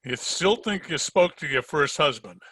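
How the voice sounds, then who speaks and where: Very bright-voiced wisecracking private eye acted by a man. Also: a little high, lively intonation, normal speed, slightly rough, heavily acted. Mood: wry. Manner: wry, slangy, unflappable. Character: wisecracking, unhurried male hardboiled private detective. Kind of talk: old-time radio detective acting and narration. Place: home, recorded over a video call.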